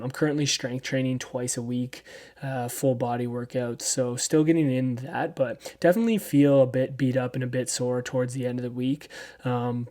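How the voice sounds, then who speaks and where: A man of thirty giving a casual weekly running recap, relaxed and rambling with lots of ums. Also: medium pitch. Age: 30s. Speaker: running vlogger.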